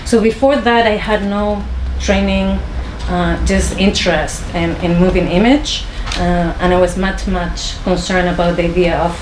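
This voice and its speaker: A woman with a Chilean Spanish accent speaks to a small audience, often pausing and restarting her sentences. Her voice sits low for a woman. The room is echoey, with clear noise behind her. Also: steady tone. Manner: hesitant, many pauses and restarts. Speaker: filmmaker introducing her screening. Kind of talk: informal talk to an audience. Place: small film venue.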